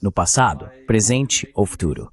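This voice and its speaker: A man's voice: lowish voice, very animated, normal speed. A man delivers translated voice-over commentary on a political panel. His manner is opinionated, and he often puts his points as rhetorical questions.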